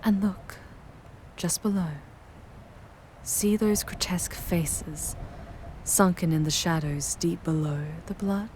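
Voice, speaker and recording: A seductive, ominous demoness played by a woman guides a mortal in an ASMR audio drama. Her voice is deep for a female and unhurried, with breathy, half-whispered phrasing. Faint noise and ambience sit behind the ornate, measured delivery. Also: darkish tone, poor audio. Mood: ominous, solemn. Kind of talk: scripted ASMR audio roleplay, dramatic monologue.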